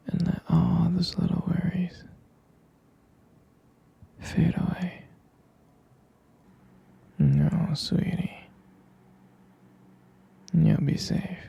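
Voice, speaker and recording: A man murmurs a bedtime boyfriend roleplay in a very husky, breathy whisper full of heavy creak. His delivery is tender, halting and soothing, with a flat, even melody, close to the microphone. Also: a little high, lo-fi sound. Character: tender, protective boyfriend lulling partner to sleep. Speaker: ASMR roleplay performer.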